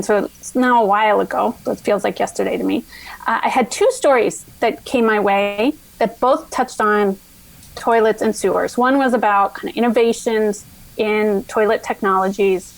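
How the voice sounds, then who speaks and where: A woman's voice: medium pitch, rough recording. A middle-aged American woman from Virginia, a science writer telling her story as a podcast guest, thoughtful and prone to restarting sentences. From Virginia, USA. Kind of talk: casual interview answers.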